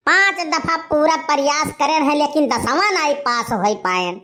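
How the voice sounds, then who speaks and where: Woman acting a high-voiced lovesick Awadhi village lad. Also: expressive, normal speed, bright, forceful tone. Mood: aggrieved. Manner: pleading, complaining, melodramatic. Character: desperate, lovesick village young man, whiny and melodramatic. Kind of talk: animated comedy dialogue.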